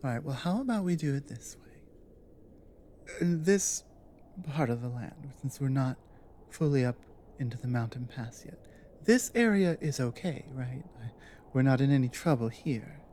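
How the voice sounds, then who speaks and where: A woman playing a big, earnest, protective orc warrior in an intimate ASMR audio drama, speaking low in a halting, thoughtful way. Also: animated, warm tone, a little hiss or hum. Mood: calm, resolved. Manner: halting, deliberate, earnest delivery with pauses.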